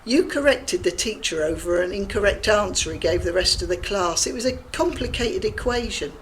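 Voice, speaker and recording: A woman's voice: medium pitch, lively intonation, moderate pace, slight background noise, lightly acted. A woman playing Gabby, a cheeky, provocative middle-aged friend who mocks and prods with blunt humour in an audio drama. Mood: mocking. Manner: teasing, blunt and mocking.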